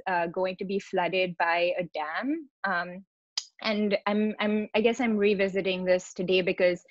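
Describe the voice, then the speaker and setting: A young woman from Pakistan, an artist, talks through her prints on a video call in a relaxed, explanatory way with many ums. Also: mid-range voice, no room sound. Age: young adult. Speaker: exhibiting artist. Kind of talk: online artist talk with slides. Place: room at home. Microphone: laptop video call. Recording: dull video-call audio.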